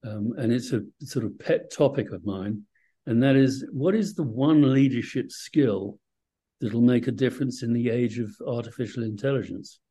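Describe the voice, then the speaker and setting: Husky, mellow male interview host. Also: mid-range voice, normal speed. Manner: enthusiastic, chatty. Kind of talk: conversational interview.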